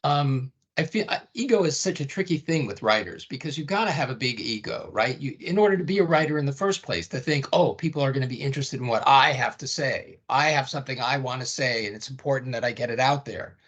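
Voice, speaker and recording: A man's voice: medium pitch, expressive, fast talker, hardly any pauses, fairly bright tone, breathy edge, highs cut off. Wry, reflective male podcast co-host. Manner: reflective, wry, asks with "right?". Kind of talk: conversational interview questions.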